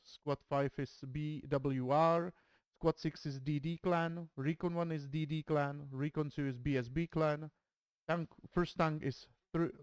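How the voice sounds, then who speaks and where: A man from the Czech Republic with a Czech accent, a native Czech speaker commentating a game match in careful, deliberate English. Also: on the high side, darkish tone, smooth, no room sound. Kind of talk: streamed game commentary, reading a dramatic intro and team roster.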